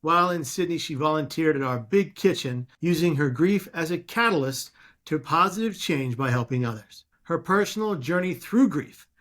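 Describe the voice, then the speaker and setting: An American man hosting an interview podcast, speaking in an effusive, emphatic way with deliberate phrasing. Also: fairly high pitch, lively intonation, fairly bright tone.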